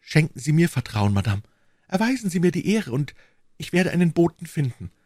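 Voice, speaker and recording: A man's voice: a little high, very animated, a little fast, a little mellow, dry recording, clean studio sound, pitched above the performer's own voice. A man voicing a devoted, brave young woman servant with an earnest, pleading and reassuring manner in a storybook reading. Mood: urgent, assuring.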